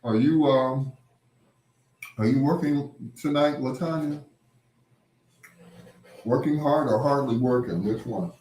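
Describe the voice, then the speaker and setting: A man chatting casually with live viewers as a livestream host, rambling and repeating phrases, with drawn-out greetings. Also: medium pitch, darkish tone, a little hiss or hum. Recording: roomy, echoing sound.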